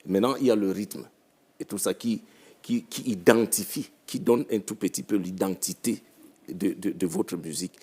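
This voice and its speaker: A man's voice: sits low, moderate pace, mellow, husky. A man from Cameroon, a musician guest on a panel talk show, speaking reflectively and repeating his phrases.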